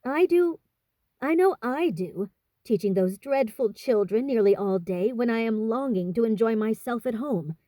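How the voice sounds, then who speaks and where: Woman playing a chiding, proper elder sister, higher-pitched. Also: expressive, moderate pace, warm tone, no echo, studio quality, natural voice. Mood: complaining. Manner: earnest, chiding. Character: proper, motherly teenage eldest sister, prone to lecturing.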